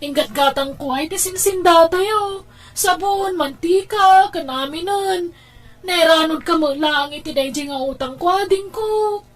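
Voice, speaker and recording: Radio drama role, woman acting: Ilocano big sister in debt, high voice, emotional, quick to argue. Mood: pleading. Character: hard-up, combative older sister deep in debt.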